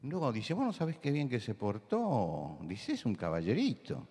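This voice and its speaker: A man from Buenos Aires with a Rioplatense accent, preaching a sermon full of anecdotes, who repeats key words and rises to loud insistence. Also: mid-range voice, huge pitch swings, moderate pace, slightly rough, long reverb tail. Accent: Rioplatense Spanish. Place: church hall.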